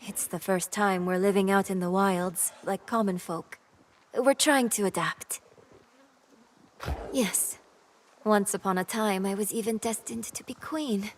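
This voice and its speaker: A woman playing a wistful young Korean noblewoman in a video game, speaking in a refined, measured, melancholy way. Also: mid-range voice, a little hiss or hum, lightly acted. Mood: wistful, composed. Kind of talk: game character dialogue.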